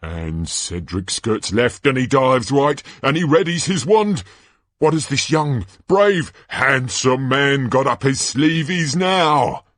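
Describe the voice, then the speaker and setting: A man voicing a flamboyant, gushing tournament announcer in a storybook reading, with booming, drawn-out delivery. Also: expressive, a bit bright, no room sound. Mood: breathless commentary. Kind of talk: stage-play sports commentary read aloud.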